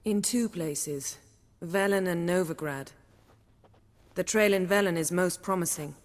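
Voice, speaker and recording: An actress playing a proud, poised sorceress in a video game, clipped and archly teasing. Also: female voice, on the low side, measured pace, lightly acted. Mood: cool, businesslike. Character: proud, cool sorceress with teasing, biting wit. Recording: long hall-like reverb.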